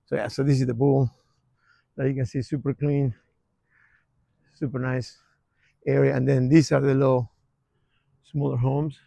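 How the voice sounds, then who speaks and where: A man, giving a relaxed, rambling walking tour of his old neighborhood outdoors, with lots of fillers and restarts. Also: medium pitch, moderate pace, vocal fry, long pauses, no room sound. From Argentina. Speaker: real estate vlogger.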